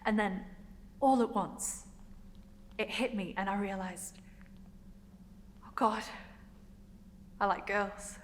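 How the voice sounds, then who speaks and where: A woman performing a passionate young woman in a dramatic stage monologue, emotional and emphatic, pausing for effect. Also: mid-range voice, steady tone, natural voice. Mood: wistful, wondering. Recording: echoey hall, noticeable room noise.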